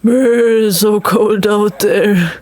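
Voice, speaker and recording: A woman voicing a shy, polite and reluctant young boy in an audio story, speaking in a hesitant, halting way. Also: medium pitch, steady tone, darkish tone, no room sound. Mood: shivering, apologetic.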